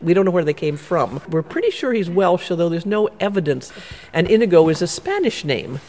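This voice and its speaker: Gravelly man in a reverberant church. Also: sits high, animated, a little mellow, a little hiss or hum. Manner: knowledgeable, measured, scholarly. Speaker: church historian guest. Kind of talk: explanatory interview answers. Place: inside a stone church.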